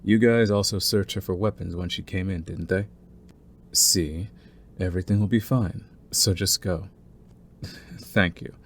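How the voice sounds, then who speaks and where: A man playing a young mafia heir in an intimate audio roleplay, smooth-spoken and deliberate, with a cunning, condescending streak beneath his courtesy. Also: low-pitched, steady tone, slight background noise. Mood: polite, feigned sheepishness. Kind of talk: intimate scripted audio roleplay, ASMR acting.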